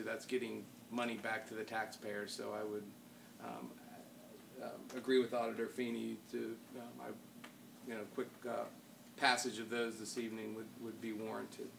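An American man chairing a council meeting, speaking formally with informal asides and halting, repeated words. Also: medium pitch, vocal fry. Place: wood-paneled council chamber. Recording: distant room microphone, faint background noise.

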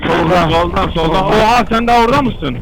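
A man's voice: high voice, fast. A man chatting casually with fellow riders over an intercom while out riding. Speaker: rider in a group. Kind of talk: casual chat between riders. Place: outdoors, riding a motorcycle. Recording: muffled intercom audio with vehicle noise.

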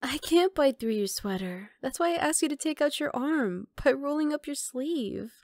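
Woman acting a high-voiced, teasing vampire girl. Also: expressive, normal speed, a little mellow, no room sound, clean studio sound. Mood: earnest, gentle. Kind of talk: ASMR roleplay.

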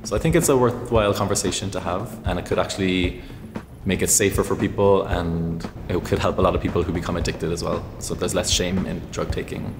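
A man from Ireland, speaking in a relaxed, thoughtful way as an interview guest. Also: low-pitched, brisk, background noise. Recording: echoey studio with music behind.

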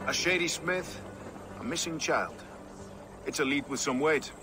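A man's voice: a little high, measured pace, music in the background. A man playing a world-weary warrior in a video game, plain-spoken and a little wry. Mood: watchful. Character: grim, world-weary male Viking-age city warrior. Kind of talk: video game cutscene acting.

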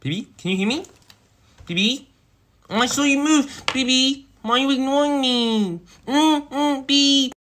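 A man's voice: mid-range voice, expressive, a little fast, slightly rough. A man playing a crime boss boyfriend in a roleplay skit, doting on his girlfriend with baby talk and menacing toward everyone else. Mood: doting, cooing. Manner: switches between sugary baby talk and cold threats.

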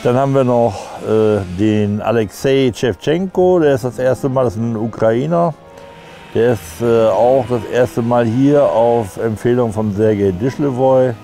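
A man's voice: medium pitch, gravelly, dark tone, soft background music. A middle-aged man casually explaining an outdoor carving event, hesitant with lots of fillers. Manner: halting, many fillers. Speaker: event organizer. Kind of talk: casual interview. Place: outdoors at a woodcarving site.

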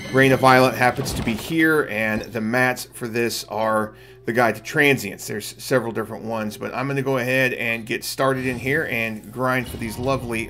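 A man's voice: mid-range voice, fairly bright tone, a little hiss or hum. A middle-aged man giving casual, explanatory livestream commentary on a game, chatting to viewers. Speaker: game streamer, channel host. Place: indoor room at home. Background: game music.

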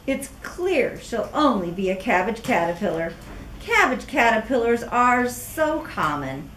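Woman voicing a high-pitched, snobbish caterpillar lady. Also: big pitch swings, measured pace, a bit bright, echoey room, a little hiss or hum. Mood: scornful. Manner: scornful, snobbish, complaining. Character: conceited, catty, scowling female caterpillar turned butterfly.

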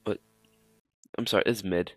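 A young man with a fairly low voice reacts casually as a host ranking videos. He rambles and circles back over his own phrases. The sound is dry, with little room in it. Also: steady tone, normal speed, no room sound.

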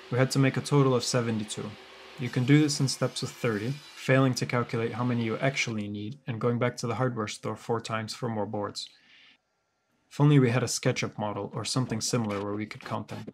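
A man giving a scripted DIY tutorial voice-over in a deadpan, wry and sarcastic manner. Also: medium pitch, slight background noise. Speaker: woodworker presenting a DIY tutorial.

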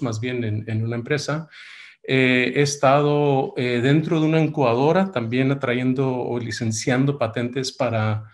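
Hombre de mediana edad, titubeante. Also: male voice, mid-range voice. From United States. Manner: hesitant, many fillers and restarts. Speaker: workshop speaker. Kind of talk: webinar presentation. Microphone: video call.

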